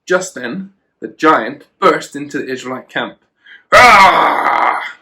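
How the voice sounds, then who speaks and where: A man's voice: mid-range voice, unhurried, sharp tone. A man reading a children's storybook aloud, with chatty asides to a child. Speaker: children and youth worker, storyteller.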